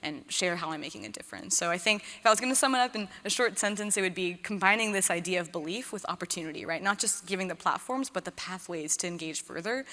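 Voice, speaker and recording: A young woman speaking energetically on a conference panel, often tagging her points with "right?". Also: fairly low pitch. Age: young adult. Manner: energetic, keeps asking "right?". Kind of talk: panel discussion answer. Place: large conference hall stage. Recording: long hall reverb.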